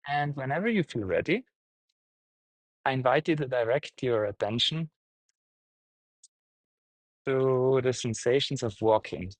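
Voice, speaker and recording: A man guiding a meditation, speaking gently in short, halting phrases with pauses. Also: medium pitch, no echo, rough recording. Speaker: meditation teacher, guide. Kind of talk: guided meditation, unscripted instruction.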